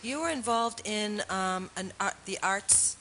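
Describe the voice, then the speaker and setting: A woman's voice: medium pitch, a little slow, crowd noise. Friendly woman questioning an applicant. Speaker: board member. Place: town board meeting room.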